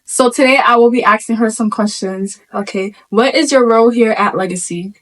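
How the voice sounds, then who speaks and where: A young woman hosting a school news show, reading interview questions in a plain, steady way. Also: fairly high pitch, brisk. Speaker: student news host.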